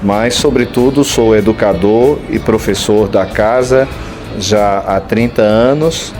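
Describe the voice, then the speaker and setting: Man, 50s, superintendent, measured formal intro, low pitch. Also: a little mellow, soft background music. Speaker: school superintendent and educator. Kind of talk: self-introduction.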